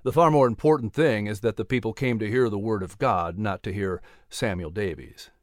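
A man's voice: medium pitch, animated, normal speed, no room sound, clean studio sound, close to an everyday voice. Man voicing a humble, earnest young preacher. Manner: plain-spoken, gentle, sincere.

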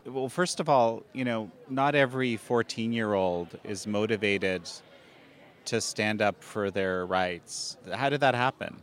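A man hosting an interview, asking questions in a halting way with hesitant restarts. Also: on the low side. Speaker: interview host. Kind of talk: interview hosting and scripted intro.

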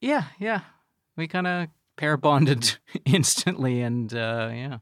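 A man talks casually as a podcast guest. His mid-range voice is very varied in pitch, and the sound is close and free of echo. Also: lively intonation, slow, a bit bright, clear, resonant tone. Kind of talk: casual chat.

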